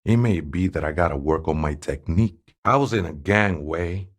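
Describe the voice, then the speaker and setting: A streetwise convert with a low-pitched voice and a Chicano accent, in a video game. He is a devout former drinker who mixes barrio slang with fervent preaching, urging others toward salvation. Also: normal speed. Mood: musing, devout. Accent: Chicano Spanish-English. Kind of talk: character dialogue for a role-playing game.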